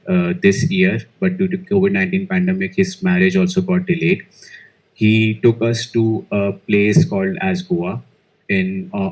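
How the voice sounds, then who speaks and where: A man whose first language is Malayalam speaks in a fairly low voice. He answers test questions hesitantly, often restarting his sentences and filling pauses with "uh.". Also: rough recording. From India. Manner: hesitant, many fillers and restarts. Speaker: IELTS speaking test candidate. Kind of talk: exam interview answers.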